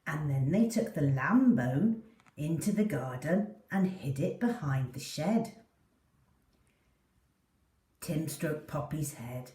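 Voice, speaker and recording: A woman tells a children's story with very animated pitch and careful, expressive phrasing. Her tone is soft-edged and mellow. The recording is roomy and slightly distant. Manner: deliberate, animated delivery for young listeners. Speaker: storyteller reading a children's book. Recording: roomy echo, distant mic.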